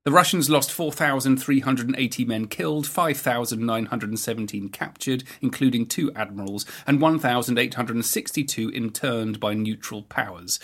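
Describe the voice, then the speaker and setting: A man's voice: mid-range voice, a bit bright. Brief: male podcast host for scripted history narration, mid-range voice.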